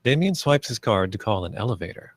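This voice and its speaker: A man's voice: lowish voice, very animated, fairly quick, dry recording, studio quality. A man reading stage directions aloud as scripted narration.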